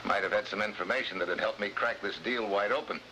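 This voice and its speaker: A hard-boiled American special agent from an early 1950s TV adventure. His voice is fairly low, terse and sardonic. The old soundtrack is clearly noisy. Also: fast, sharp tone. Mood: defiant, dry. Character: tough, wisecracking American government special agent.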